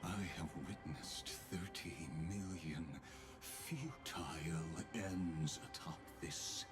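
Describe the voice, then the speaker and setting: A man's voice: sits low, takes it slowly. A man playing an ancient, theatrical, sinister schemer in a video game, with whispery, grandiose delivery. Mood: solemn, scheming. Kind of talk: video game dramatic voice acting. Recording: music behind the voice.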